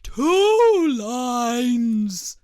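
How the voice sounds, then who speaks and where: A woman voicing a melodramatic, sentimental old turtle creature from a storybook in a slow, drawn-out, swooping delivery. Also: mid-range voice, expressive, a little mellow, no room sound, clean studio sound. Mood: excited. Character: melodramatic, sentimental old Mock Turtle, excitable then mournful.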